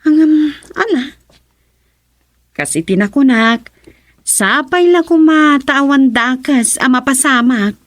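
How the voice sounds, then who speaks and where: A fretful townswoman in an Ilocano radio drama. Her voice is high and recorded dry and close. She has an Ilocano accent and a worrying, careful temper. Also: animated, slow, pauses often, no room sound. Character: worried, fretful townswoman wary of illegal recruiters.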